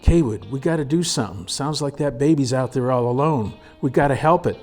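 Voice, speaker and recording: A man's voice: mid-range voice, fairly quick, a little mellow, faint music behind, voice pushed up, lightly acted. A man voicing a worried, insistent frontier wife and mother with urgent, folksy speech in a storybook reading.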